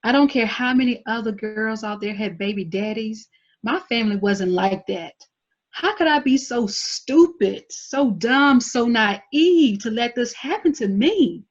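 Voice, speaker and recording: A woman's voice: on the high side, lively intonation, unhurried. An actress reading a proud, driven twenties woman, blunt, slangy and defensive, in a TV drama table read. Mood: confessional, upset. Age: young adult. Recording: dull lo-fi recording.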